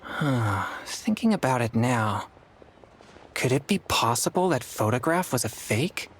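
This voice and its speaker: A woman voicing a calm, serious, thoughtful teenage boy in a video game, with a reserved way of speaking. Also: rumbling low, a little slow, slight background noise. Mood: pondering.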